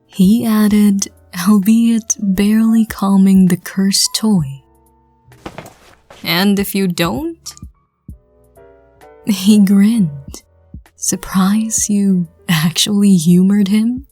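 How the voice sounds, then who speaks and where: A woman's voice: medium pitch, steady tone, extremely slow, long pauses, warm tone, no room sound, faint music behind. A woman narrating a fan audio drama and voicing the listener's part, stammering and laughing self-consciously.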